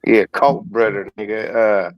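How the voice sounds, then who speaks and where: A man's voice: on the low side, fairly even intonation, unhurried, highs cut off, crowd noise. A man giving his opinions on sports in casual, slangy, profane talk, often repeating words to make his point. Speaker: guest commentator.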